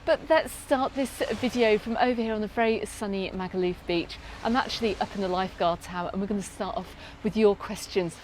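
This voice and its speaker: A woman's voice: a little high, darkish tone, a little hiss or hum. Chatty British woman vlogging outdoors. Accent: British English. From Britain. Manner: chatty, upbeat, with frequent ums and restarts. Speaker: travel vlogger. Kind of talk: casual travel vlog Q&A. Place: outdoors on a sunny beach, in a lifeguard tower.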